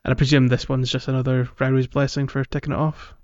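A man's voice: mid-range voice, level tone, quick pace, a little mellow, no echo. Dull-sounding man, casual podcast banter. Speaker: podcast co-host. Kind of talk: casual gaming podcast chat.

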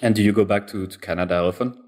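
A man's voice: fairly low pitch, rapid-fire, a little mellow. A man interviewing a guest, asking curious, friendly follow-up questions in a casual chat.

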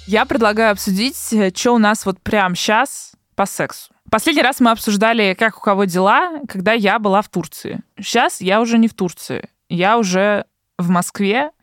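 A woman's voice: medium pitch, normal speed, a bit bright, no echo, studio quality. A woman in her twenties hosting a podcast in casual, bantering conversation. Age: 20s. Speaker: podcast host. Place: indoor room.

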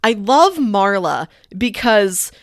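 A woman's voice: medium pitch, big pitch swings, slow pace, no room sound, clean studio sound. A woman co-hosting a podcast, chatting casually and enthusiastically as she analyses films. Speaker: podcast co-host. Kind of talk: casual film discussion chat.

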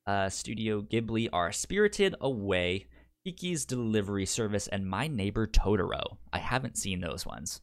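A man co-hosting a podcast, chatting casually about films in a halting way, with a pronounced stutter that repeats sounds and syllables. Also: fairly low pitch, lively intonation. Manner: halting, hesitant delivery. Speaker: podcast co-host. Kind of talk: casual film discussion.

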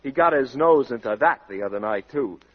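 Male actor as a griping young son, radio comedy, sarcastic slang, animated, muffled vintage recording. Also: mid-range voice, brisk, natural voice. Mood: annoyed sarcasm. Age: young adult. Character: irritated, wisecracking young son, tinkering inventor. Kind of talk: old-time radio comedy acting.

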